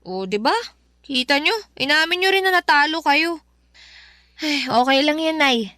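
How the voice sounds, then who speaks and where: An actress playing a patient young daughter who gently soothes and pleads with her mother in a radio drama. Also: high-pitched, lively intonation, normal speed, no room sound, clean studio sound. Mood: soothing.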